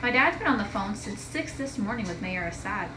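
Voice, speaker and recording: Woman voicing a loud, bossy bookish schoolgirl. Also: mid-range voice, expressive, brisk, echoey room, background noise, lightly acted. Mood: confiding, eager.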